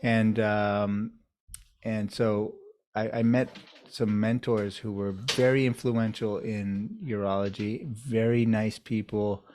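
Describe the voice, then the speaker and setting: A man, a urologist being interviewed, answering in a measured, thoughtful storytelling way. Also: on the low side, steady tone, a little mellow. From United States, New York area. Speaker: urologist guest.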